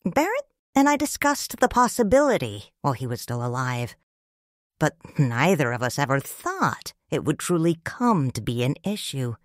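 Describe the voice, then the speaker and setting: A woman narrating in first person as a grieving widowed noble mother in a fan audio drama, quiet and restrained. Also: sits low, sing-song, dry recording, clean studio sound. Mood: sorrowful. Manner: slow, hushed storytelling.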